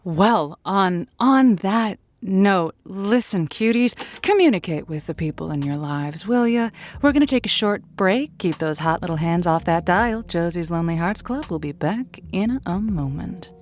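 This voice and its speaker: A woman's voice: on the low side, animated, a little slow, few pauses, darkish tone. A woman playing a flirty, theatrical radio host on a call-in show, with coy, playful flourishes in a sitcom style. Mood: playful, teasing.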